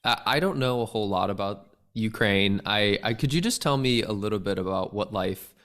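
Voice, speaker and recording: A man's voice: lowish voice, moderate pace, fairly bright tone. A man hosting a podcast interview, speaking earnestly and greeting his audience. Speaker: podcast host.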